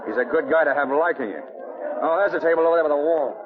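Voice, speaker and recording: An actor in a 1940 radio drama playing a slick, shady nightclub boss with a slangy, offhand way of talking. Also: male voice, a little high, fast, crowd noise, background noise, lightly acted. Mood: smooth, wary. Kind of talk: 1940s radio acting. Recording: tinny old radio recording, loud music behind.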